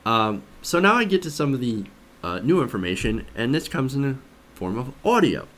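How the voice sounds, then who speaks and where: Animated male political commentator. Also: mid-range voice, normal speed. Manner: skeptical, emphatic, rhetorical questions. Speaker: host of a political commentary show. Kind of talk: news commentary, conversational.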